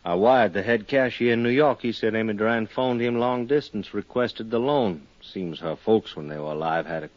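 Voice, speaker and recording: An actor playing a blunt, hard-nosed police lieutenant with a world-weary manner, in 1950s radio drama style. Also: male voice, on the low side, moderate pace, hardly any pauses, no room sound, lightly acted. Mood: subdued, matter-of-fact. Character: gruff, hard-nosed male police lieutenant, reluctantly respectful. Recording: dull, noisy 1950s radio recording.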